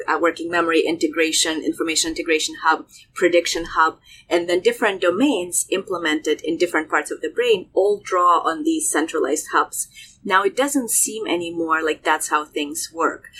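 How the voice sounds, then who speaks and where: A woman's voice: fairly low pitch, normal speed, slight background noise, rough recording. A woman from Russia, a native Russian speaker, explaining neuroscience conversationally as a guest, with lots of fillers and restarts. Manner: animated, many fillers and restarts.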